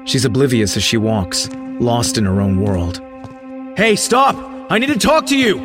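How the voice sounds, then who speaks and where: A man's voice: medium pitch, sing-song, normal speed, natural voice. A man playing a grim, haunted narrator, telling a first-person horror audio drama with tense, dramatic delivery. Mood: urgent. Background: prominent music.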